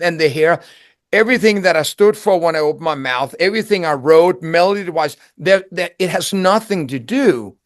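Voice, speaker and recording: A man in his sixties from Denmark with a Danish accent, a singer giving a reflective interview and talking in halting, repeated starts. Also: high voice, no echo, studio quality. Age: 60s. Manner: halting, repeats words, searches for phrasing.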